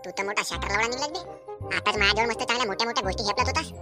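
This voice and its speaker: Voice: mid-range voice, rapid-fire, natural voice. A performer voicing a crude, frustrated village bachelor in a comic cartoon, grumbling and swearing in rural Vidarbha Marathi slang. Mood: scheming, boastful. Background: music.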